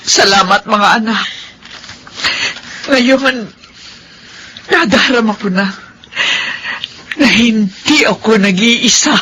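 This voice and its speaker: A tender, tearful Filipino mother in a radio drama, speaking slowly in a high, bright voice. There is clear noise behind her. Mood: moved, tearful. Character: tender, tearful elderly mother of grown children.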